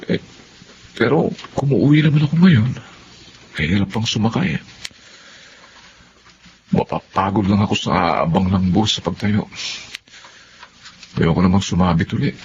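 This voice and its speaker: Low-voiced earnest young working student. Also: male voice, lo-fi sound, a little hiss or hum. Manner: thinking aloud, earnest. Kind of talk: radio drama monologue.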